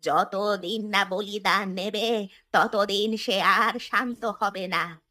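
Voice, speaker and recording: A grave old village healer, acted by a woman in a horror cartoon. She talks slowly and ominously, with a bright, forceful voice that sounds wary and knowing. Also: mid-range voice, steady tone. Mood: ominous warning. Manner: ominous, deliberate storytelling.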